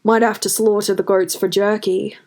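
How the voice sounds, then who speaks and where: A woman's voice: medium pitch, flat delivery, moderate pace, darkish tone, lightly acted. A woman voicing a folksy, complaining male village goatherd in a storybook narration. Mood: lamenting. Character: grumbling, colourful village goatherd man.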